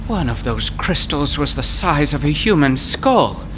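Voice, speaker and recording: An actor playing a fussy, wordy gentleman scholar who speaks in flowery, old-fashioned phrases and gets excited easily, in old-time radio drama style. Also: a little high, measured pace, noisy background. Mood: excitable, wordy. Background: music.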